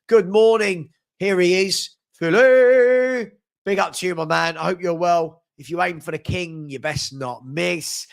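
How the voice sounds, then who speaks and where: Young man, higher-pitched voice, sniffly with a cold, upbeat live-stream host, quick shout-outs. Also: gravelly. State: has a head cold, a bit sniffly. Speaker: host of a live fan chat show. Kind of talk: casual live-stream chat reading out viewers' comments.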